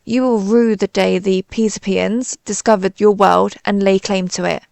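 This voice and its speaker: A woman's voice: medium pitch, fairly even intonation, moderate pace, gravelly, a little mellow, no echo, close to an everyday voice. A woman playing a pompous, easily flustered female alien invader in a scripted audio roleplay, with haughty, theatrical, formal phrasing. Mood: pompous, menacing.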